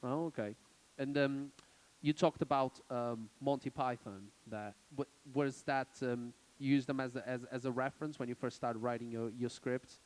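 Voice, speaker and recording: A man interviewing a comedy director at a festival, asking questions in a halting way and repeating words. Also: mid-range voice, steady tone, darkish tone, low-quality recording. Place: on stage at a festival event.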